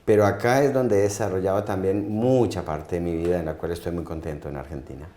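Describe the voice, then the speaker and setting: A low-pitched man in his fifties from Colombia, speaking as a neurosurgeon guest in an interview. His voice is mellow and soft-edged, and he pauses often and repeats words while he searches for the next one. The sound carries a roomy echo. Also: fairly even intonation. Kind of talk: interview answers.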